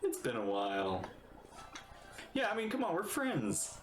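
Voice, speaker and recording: A man giving casual, excitable, rambling reaction commentary while playing a game, talking back to the characters. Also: on the high side, a little slow, echoey room.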